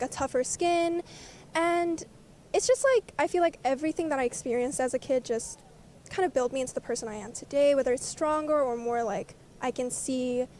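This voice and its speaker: A young woman from South Africa, chatting fast in a casual outdoor interview and laughing often. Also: high voice, a little mellow, a little hiss or hum.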